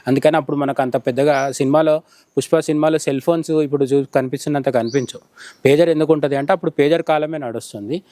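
A man's voice: on the high side, warm tone. A middle-aged man with a Telangana Telugu accent giving conversational news analysis as a journalist, explaining by posing questions and answering them. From India. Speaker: journalist interviewed as commentator.

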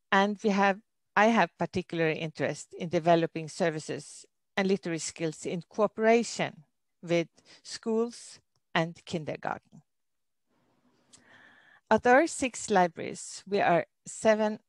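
A middle-aged woman with a Norwegian accent gives a presentation over a video call. Her voice sits a little low. She speaks careful, slightly halting English. The sound is dry and close, with no room echo. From Norway. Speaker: library director giving a presentation. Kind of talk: webinar presentation. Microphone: computer mic on a video call.

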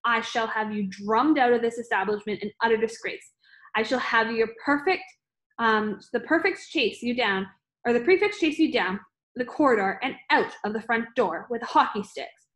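Woman doing a furious bullying headmistress, roaring, ranting, storybook reading, higher-pitched voice. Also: moderate pace, a bit bright, lightly acted. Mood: raging.

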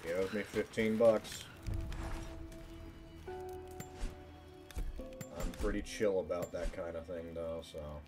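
A man's voice: low-pitched, level tone, slow, pauses often, music in the background. A man live streaming a game, chatting casually in a rambling, jokingly dramatic way. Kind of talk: casual gaming livestream chat.

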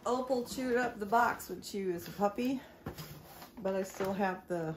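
A middle-aged woman talking casually to herself as she sorts and clears a cluttered dining room table. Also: medium pitch, unhurried. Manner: relaxed, muttering to herself while sorting. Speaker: vlogger. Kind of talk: casual narration while decluttering. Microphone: camera across the room. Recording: echoey room with background noise.

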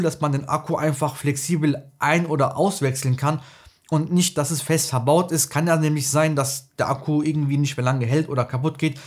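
A man's voice: a little high, breathy edge. A man in his thirties talks through a tech product to camera. He explains it casually and colloquially, like an online reviewer. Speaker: tech reviewer, video host.

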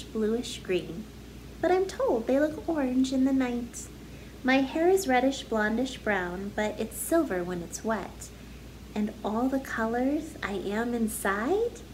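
Slow-paced, higher-voiced woman reading to children. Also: a little hiss or hum, rough recording. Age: 30s. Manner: slow, deliberate, animated teacher delivery addressing children. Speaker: first grade teacher hosting a children's story time. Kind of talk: children's read-aloud, expressive and sing-song. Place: living room at home, indoors.

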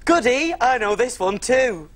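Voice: medium pitch, lively intonation, a little slow, loud music behind the voice, natural voice. A performer voicing a cheerful cook puppet on a children's TV show, talking in a chirpy, exclamatory way. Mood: chatty. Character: cheerful grown-up cook puppet, Small's friend.

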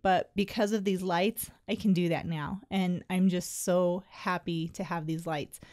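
A woman's voice: fairly low pitch, fairly even intonation, measured pace, a little mellow. A middle-aged woman who keeps horses, talking through her barn work in a plain, friendly, explanatory way. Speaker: horse owner vlogger. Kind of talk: casual how-to narration.

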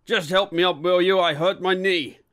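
A man voicing a grumbling, aging police officer in an audiobook, gruff, blustery and defensive. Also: mid-range voice, very animated, a little slow. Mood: pained, irritated. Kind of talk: audiobook character voice.

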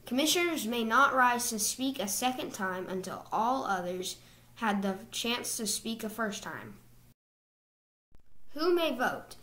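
A woman reading procedural rules from slides in a measured, scripted narration. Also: a little high, a bit bright.

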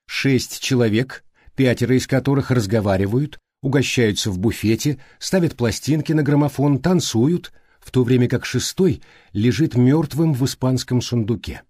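A man narrating an audiobook, reading literary prose in a measured, deliberate way. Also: medium pitch, darkish tone, no room sound, clean studio sound.

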